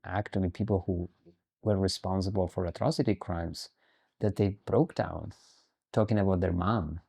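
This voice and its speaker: A middle-aged man speaking as a guest in an interview, measured and deliberate, often repeating words as he works through a thought. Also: deep voice, fairly even intonation, vocal fry, warm tone, husky. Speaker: podcast guest, human rights activist. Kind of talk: interview conversation.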